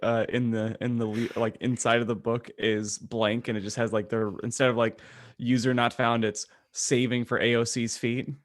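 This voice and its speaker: A man's voice: medium pitch, level tone, moderate pace. A man hosting a podcast in a casual, irreverent, joking style of group banter. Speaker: podcast host.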